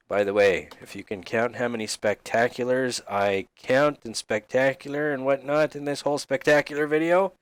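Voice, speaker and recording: A man's voice: mid-range voice, fairly bright tone, no room sound. Goofy, rambling man, a bit sniffly. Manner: goofy, rambling, jokey, affectionate toward viewers. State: slightly sick and sniffly. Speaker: YouTube host reviewing game resource packs. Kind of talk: casual gameplay commentary.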